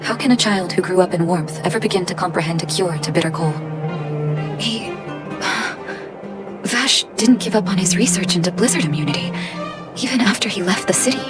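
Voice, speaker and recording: An actress playing an earnest, gentle and caring woman doctor in a video game. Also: fairly low pitch, natural voice. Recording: music behind the voice. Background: music.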